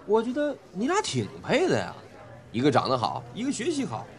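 A man's voice: high-pitched, huge pitch swings, a little fast, full character voice. A young man acting a cheeky, gossipy male roommate in a radio drama. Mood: teasing. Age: young adult.

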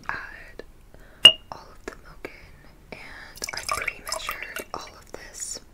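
A young woman whispering breathily in an ASMR roleplay, gently teaching as a witch in an unhurried way. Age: young adult.

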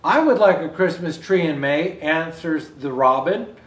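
High-voiced older man reading a storybook, roomy. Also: unhurried. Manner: expressive read-aloud with asides to viewers. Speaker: storyteller in Santa costume. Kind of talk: reading a children's picture book aloud. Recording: roomy indoor echo.

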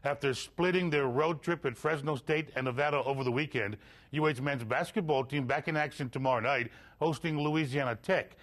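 A man's voice: mid-range voice, brisk, fairly bright tone. A middle-aged man reading scripted sports news as a television anchor in a studio.

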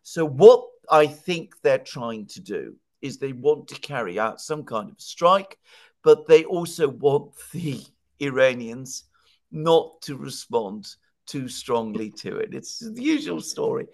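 Guy in his 60s doing political commentary, chatty but emphatic, asks himself questions then answers, medium pitch. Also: big pitch swings, takes it slowly, gravelly. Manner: explanatory, emphatic, poses rhetorical questions. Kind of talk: conversational news analysis. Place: home study with bookshelves. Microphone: headset on video call.

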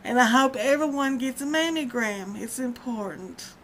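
A rambling, chatty woman in her fifties with a fairly high voice, talking straight into a webcam in a dim room at home. A faint hiss sits under her voice. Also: lively intonation, normal speed, poor audio. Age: 50s. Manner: rambling, chatty, laughs often. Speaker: vlogger. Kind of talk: casual personal vlog. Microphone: webcam at close range.

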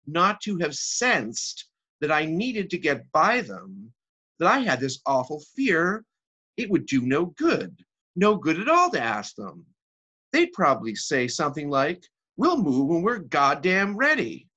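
Here is a man acting out a frazzled woman in her thirties, delivering a comic stage monologue. The voice is sharp and bright, with a lively range. She rambles emphatically, exasperated and sorry for herself. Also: on the high side, husky, voice pushed up, full character voice. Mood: indignant, frantic. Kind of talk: theatrical comic monologue reading.

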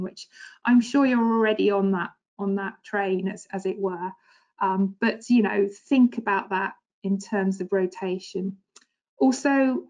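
A woman's voice: medium pitch, darkish tone, low-quality recording. Woman presenting a farming webinar. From England. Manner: conversational, many fillers, thoughtful. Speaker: agronomist presenter. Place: indoor home office. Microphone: webcam video call.

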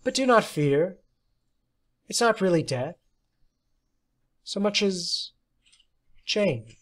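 A man's voice: high-pitched, big pitch swings, pitched above the performer's own voice, exaggerated voice. A man voicing an eccentric woman schoolteacher who tells fortunes, dreamy and portentous, in an audiobook story. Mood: coolly reassuring. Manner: theatrical, deliberate, portentous. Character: eccentric woman teacher telling children's fortunes, dreamy, eerie.

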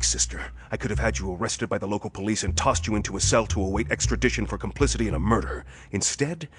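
Low-voiced, tough police detective played by an actor. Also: male voice, a little hiss or hum, natural voice. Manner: blunt, hard-boiled, slangy. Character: tough, suspicious male police detective, brusque and commanding. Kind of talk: pulp thriller audio drama acting.

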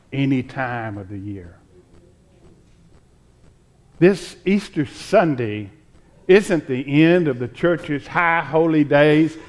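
A man in his sixties preaching a sermon as a pastor, with deliberate, measured phrasing and dramatic pauses. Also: medium pitch. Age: 60s. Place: church sanctuary, at the pulpit. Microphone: pulpit microphone.

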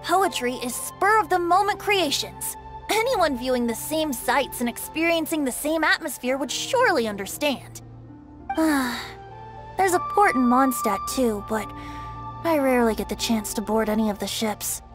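A woman's voice: high voice, animated, unhurried, music in the background. A woman voicing a carefree, playful young male bard in a game, with a breezy, wheedling way of talking.

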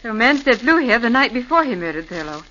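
A plucky, determined assistant. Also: female voice, fairly high pitch, big pitch swings, fast, bright, forceful tone. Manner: eager, determined. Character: plucky young woman, detective's assistant. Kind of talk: 1940s radio acting. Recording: dull old radio recording with faint hiss.

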